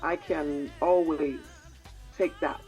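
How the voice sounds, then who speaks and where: A soft-spoken woman with a British-tinged Jamaican accent, speaking in a fairly low voice. She talks haltingly as an interview guest, full of fillers and quick to laugh. Faint music sits low behind her. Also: steady tone. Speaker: reggae singer, guest. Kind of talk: casual interview chat. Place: music studio.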